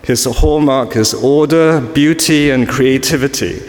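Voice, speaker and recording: A man's voice: medium pitch, unhurried, faint music behind. Man preaching in an echoing church. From Singapore. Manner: chatty and self-mocking, with frequent ums and asides. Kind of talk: sermon to a family church service. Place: large church. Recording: echoey church with long reverb.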